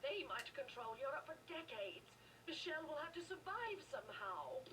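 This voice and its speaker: A woman's voice: sits high, very animated, moderate pace. An actress playing an anxious, pleading mother and protective wife in a video game. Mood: worried, pleading. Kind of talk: video game dialogue acting. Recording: distant, noisy game capture with ambience.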